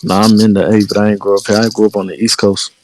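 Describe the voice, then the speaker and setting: A man's voice: lowish voice, flat delivery, quick pace, darkish tone, no echo. A man from Delaware who raps, answering interview questions in laid-back slang and often saying "you know what I mean". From Delaware, USA. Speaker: rapper guest. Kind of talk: casual interview chat.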